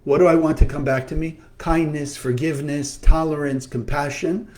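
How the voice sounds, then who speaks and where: A man giving a spiritual talk as a teacher, earnest and measured, slowly listing virtues. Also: on the high side, fairly even intonation, darkish tone.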